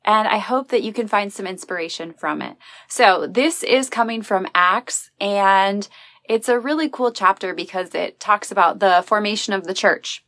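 A woman's voice: mid-range voice, moderate pace, a bit bright, no echo. A woman hosting an inspirational podcast, talking earnestly and encouragingly about faith. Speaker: podcast host. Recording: recorded as a live video.

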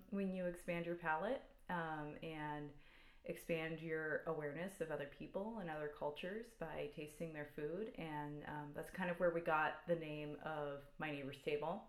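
Woman co-host, very low voice, hesitant and thoughtful, echoey room. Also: steady tone, normal speed. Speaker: podcast co-host. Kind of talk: casual podcast chat.

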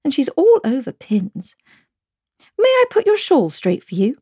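A woman's voice: sits high, wildly swooping pitch, measured pace, warm tone, dry recording, clean studio sound, pitched above the performer's own voice, heavily acted. A woman voicing a polite, eager little girl in a storybook reading. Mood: concerned, helpful. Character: polite, well-meaning little girl Alice.

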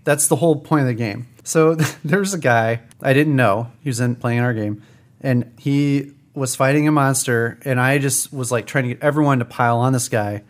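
A man's voice: mid-range voice, normal speed. Podcast host guy, mid voice, chatty, laughs a lot telling his story. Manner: chatty, laughs often while telling stories. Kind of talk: casual chat, storytelling.

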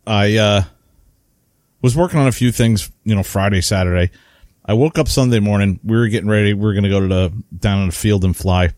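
A man's voice: lowish voice, brisk, no room sound, studio quality. A man co-hosting a hobby podcast, chatting casually and telling stories with lots of fillers. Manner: rambling, animated storytelling with many fillers. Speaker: podcast co-host. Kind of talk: casual chat.

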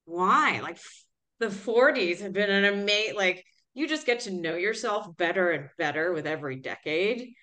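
A woman's voice: mid-range voice, expressive, moderate pace. A woman in her forties hosting a podcast interview, affirming and encouraging, who asks long, thoughtful questions. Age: 40s. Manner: warm, affirming, frequent agreement sounds. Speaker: podcast host.

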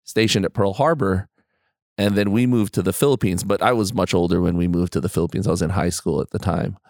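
Low gravelly man, lively interviewer. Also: quick pace, no echo, clean studio sound. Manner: friendly, encouraging backchannels. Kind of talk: casual interview conversation.

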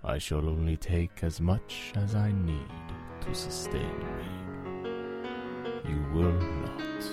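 A man playing a suave, commanding vampire in a radio drama, speaking formally with measured, hypnotic diction and a husky voice. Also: low voice, over loud music. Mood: hypnotic, menacing. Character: suave, commanding male vampire, seductive and menacing.

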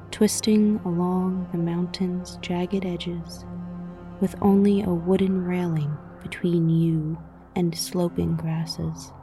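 A woman narrating a scripted guided meditation in a soothing, measured way with frequent pauses, her voice soft and breathy, often near a whisper. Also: on the low side. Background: music.

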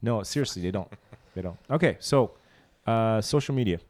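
A man's voice: fairly low pitch, normal speed. A young man hosting a casual comedy podcast, rambling through stories and riffing loosely. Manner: rambling storyteller, animated when riffing. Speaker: podcast host.

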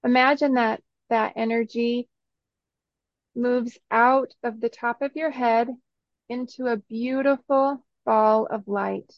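Slow, higher-pitched woman guiding meditation. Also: fairly even intonation, no echo, muffled recording. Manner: soothing, instructional, short phrases with long pauses. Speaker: meditation guide. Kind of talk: guided meditation.

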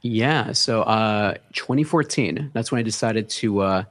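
A man, a podcast guest telling his story in relaxed casual talk full of fillers. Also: lowish voice, measured pace. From the Bronx, New York. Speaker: guest, content creator.

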